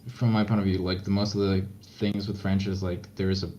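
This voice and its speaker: Low-voiced Spanish-speaking man, muffled mic. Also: flat delivery, a little fast, a little mellow. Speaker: player. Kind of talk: casual chat on a tabletop game stream. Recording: muffled online voice chat with background noise.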